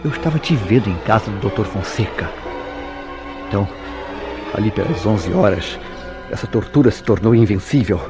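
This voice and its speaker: Low-voiced, tormented jealous lover, played by a man. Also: expressive, over loud music, natural voice. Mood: anguished confession. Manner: theatrical, formal diction, dramatic pauses. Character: Mauro, jealous, tormented lover confessing a crime. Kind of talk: old-fashioned radio soap opera acting.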